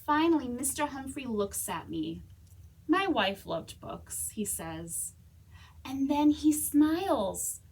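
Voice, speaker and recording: Lady doing kids storytime, high voice, slightly husky, lots of drama and pauses. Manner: expressive, with dramatic pauses and whispers. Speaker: storytime reader at a library. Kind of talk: animated children's read-aloud.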